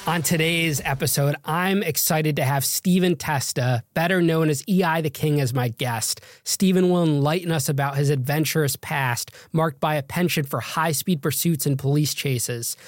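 A man's voice: on the high side, moderate pace, few pauses, dry recording, studio quality, soft background music. A man hosting a podcast, chatting casually and loosely with his guest, with plenty of fillers. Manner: chatty, hesitant with many fillers. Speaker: podcast host. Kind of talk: casual interview chat.

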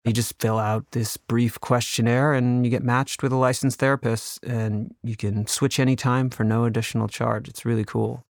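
A man's voice: mid-range voice, level tone, normal speed, vocal fry, few pauses, no echo, clean studio sound. A man who created the show, reading a casual, personal sponsor message in a sincere, conversational way. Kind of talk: casual, personal podcast ad read.

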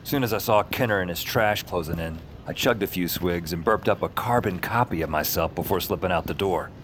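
An actor playing a weary, deadpan, sardonic dispatcher who narrates his own noir tale in a fiction podcast. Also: low voice, brisk, slight background noise, natural voice. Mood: wry, storytelling.

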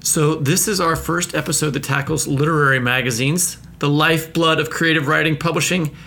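A man's voice: a little high, steady tone, fairly quick, gravelly, bright, forceful tone, a little hiss or hum. A man speaking casually as the host of a podcast.

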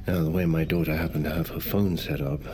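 An actor playing a wealthy middle-aged magnate, speaking formally in a measured, halting way. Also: male voice, rumbling low, steady tone, a little mellow. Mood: assured, explanatory. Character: wealthy, controlled, grave male business magnate. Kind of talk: naturalistic audio drama acting.

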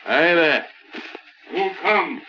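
A man's voice: fairly high pitch, lively intonation, extremely slow, sharp tone, soft background music, exaggerated voice. An actor playing a stoic male frontier scout in an old radio western, speaking in terse, broken English. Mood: guarded. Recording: dull, lo-fi old radio recording.

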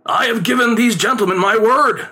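A man plays a wily old street magician from a storybook, a melodramatic father who complains and pleads. The voice is pitched high, swooping and bright, well above an everyday register. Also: lively intonation, a little fast. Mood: pleading. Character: theatrical aging male street conjurer, wily, lamenting father. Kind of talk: storybook character voice.

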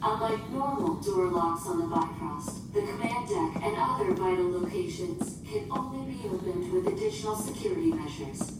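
A woman's voice: fairly high pitch, sing-song, normal speed, hardly any pauses, over loud music. A woman playing a literal, formal ship computer in a science fiction audio drama, speaking in precise, emotionless phrasing. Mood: calm, formal. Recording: very echoey, distant, noisy ambience.

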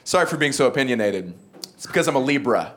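A man's voice: medium pitch, expressive, quick pace, heavy background noise. A young man from the American South with no regional accent, performing a stand-up comedy set with dry, self-mocking asides. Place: comedy club stage with a live audience. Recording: live club recording with loud audience laughter.

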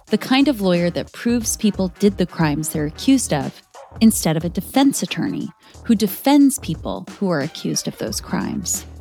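A woman's voice: fairly low pitch, vocal fry, a little mellow. A woman narrating a scripted biography as a children's story, explaining things in simple words.

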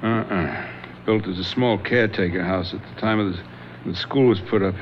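An actor playing a shrewd police lieutenant in a radio drama, calm, probing and authoritative. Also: male voice, on the low side, normal speed, close to an everyday voice. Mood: methodical. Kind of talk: 1970s radio drama acting. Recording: dull old radio broadcast recording, faint hiss.

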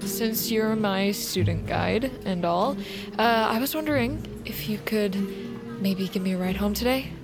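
A woman acts as a guarded teenage girl in an audio drama. Her voice sits at a middle pitch, wry and deadpan, with music clearly behind it. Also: unhurried, natural voice. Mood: hesitant, guarded. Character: dry, guarded, sarcastic high school senior girl.

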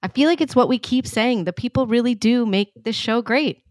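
A woman's voice: fairly high pitch, brisk, a little mellow, no room sound, studio quality. A woman co-hosting a podcast in casual discussion, explaining things as a television producer would.

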